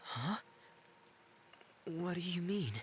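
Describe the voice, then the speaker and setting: A man's voice: sits high, animated, slow pace, slight background noise. A man playing a shy, withdrawn, apologetic young orphan, hesitant and subdued, in an anime video game.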